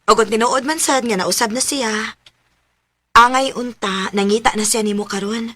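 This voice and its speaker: Casting: caring single mother, thirties, radio drama, slightly high voice, dry sound, measured admonishing delivery. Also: a bit bright, dry recording. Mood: caring, resigned. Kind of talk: Cebuano radio drama acting.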